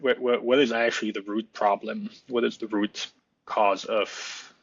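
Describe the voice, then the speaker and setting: A man's voice: on the low side, little pitch movement, unhurried, vocal fry, breathy edge, no room sound, dull audio. A man from France, a guest architect, talking in a hesitant, thoughtful way with many restarts over a remote call. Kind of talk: casual interview talk.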